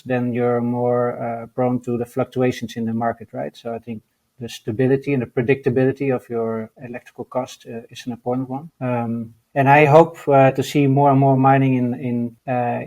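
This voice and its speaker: This is a man with a Dutch accent, a guest in an interview. His speech stays within a narrow, flat pitch band. He hesitates a lot, with fillers and restarts between thoughts. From Netherlands. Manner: halting, many fillers and restarts. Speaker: guest, mining entrepreneur. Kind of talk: interview answers.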